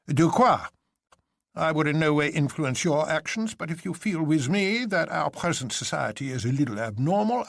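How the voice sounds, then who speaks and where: Man playing a stiffly courteous, husky gentleman. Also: mid-range voice, dry recording, clean studio sound, exaggerated voice. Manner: formal, polite, fastidious.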